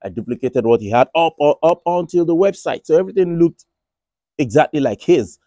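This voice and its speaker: A man's voice: on the high side, animated, fairly quick, dark tone, no room sound. A man with a Nigerian accent, talking casually about his money and trading, rambling with frequent restarts. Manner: rambling, many restarts and fillers. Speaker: finance vlogger. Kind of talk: casual monologue. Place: Republic of Ireland.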